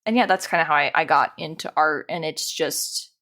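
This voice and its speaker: A woman's voice: low-pitched, normal speed, pushed and bright. A woman chatting casually as an interview guest, informal and self-deprecating in the way she talks. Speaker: podcast guest, animator and dungeon master.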